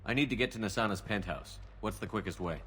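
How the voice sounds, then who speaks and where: An actor playing a cool, terse, controlled soldier hero in a sci-fi video game. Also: male voice, fairly low pitch, quick pace, a bit bright, slight background noise, lightly acted. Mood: calm, businesslike.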